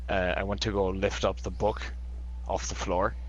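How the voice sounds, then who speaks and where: A man's voice: low-pitched, moderate pace, highs cut off, slight background noise. A man playing a tabletop game, rambling through his character's deeds with lots of swearing. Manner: excited, profane, rambling. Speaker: player.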